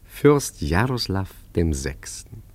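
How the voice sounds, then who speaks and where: An actor playing a suave, roguish art forger who talks with smooth, sly deference in a 1950s German radio play. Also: male voice, deep voice, very animated, slow, darkish tone, heavily acted. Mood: wry storytelling.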